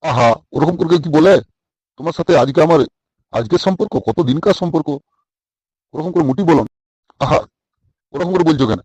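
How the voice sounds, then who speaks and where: Man playing a quick, effusive cartoon boss. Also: on the high side, a little mellow, slightly rough, no echo. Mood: obliging. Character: genial, obliging male boss. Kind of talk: comic cartoon dialogue.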